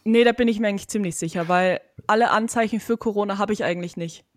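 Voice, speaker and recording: A woman's voice: medium pitch, fast talker. Stuffy-nosed young woman co-host. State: stuffy nose from a cold. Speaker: podcast co-host. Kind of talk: casual chat.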